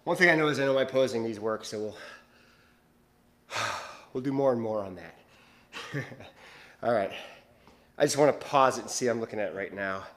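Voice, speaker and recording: A man's voice: medium pitch, moderate pace, long pauses, fairly bright tone. A male bodybuilder vlogging to his viewers in casual chat, rambling and hesitant, with lots of fillers and restarts.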